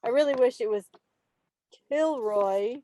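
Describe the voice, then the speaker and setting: A woman's voice: on the high side, measured pace, mellow, a little hiss or hum. A woman casually and playfully presenting a quiz to a group on a video call. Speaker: club quiz host. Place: online video meeting. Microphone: video call microphone, dry sound.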